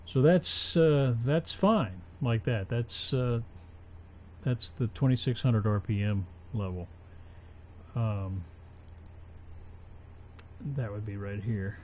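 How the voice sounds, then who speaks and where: Male tutorial presenter, dark mellow voice, unhurried and halting, working through steps aloud on a muffled recording. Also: medium pitch. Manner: halting, thinking aloud as they work. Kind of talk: casual unscripted software tutorial narration. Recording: dull, muffled home recording with faint noise.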